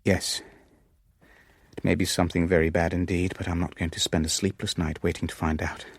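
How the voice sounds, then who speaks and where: A pragmatic businessman in a radio play. His voice is low and sits in a dry studio sound. He talks in a measured, wry, understated way. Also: level tone, no echo. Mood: worried, grim. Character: pragmatic, wry male businessman, sceptical and worldly. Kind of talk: radio drama dialogue and first-person narration.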